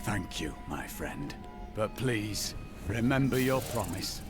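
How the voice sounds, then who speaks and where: A devout fantasy game knight, with a lowish, expressive voice. He speaks slowly and formally, with earnest resolve. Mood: solemn, earnest. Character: devout, earnest male holy warrior, gravely resolute. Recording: music behind the voice.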